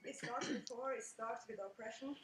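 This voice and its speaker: A woman's voice: fairly high pitch, moderate pace, crowd noise. A woman speaking from a personal perspective, giving a firm, critical comment at a conference. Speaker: conference participant. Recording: distant, echoey, loud noise.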